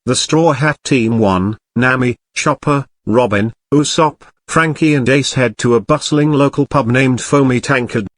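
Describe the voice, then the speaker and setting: A man's voice: mid-range voice, unhurried, few pauses, no room sound, studio quality. A man narrating a scripted adventure audio drama.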